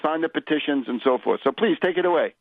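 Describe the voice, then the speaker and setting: A man's voice: a little high, lively intonation, fast, dry recording. A man hosting a conversational political radio interview, explaining things in a professorial way with rhetorical asides. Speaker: radio show host. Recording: muffled lo-fi radio audio.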